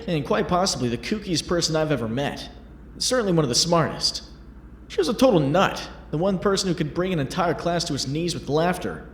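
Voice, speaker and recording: A man's voice: a little high, a little hiss or hum. A man playing a wry, self-deprecating young superhero who works as a reporter, in a comic dub. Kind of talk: comic dub acting with narration.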